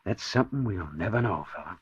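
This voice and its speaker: An actor playing a jittery, slangy newspaper reporter with punchy talk in 1940s radio acting style. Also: male voice, lowish voice, breathy edge. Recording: muffled, hissy old radio recording.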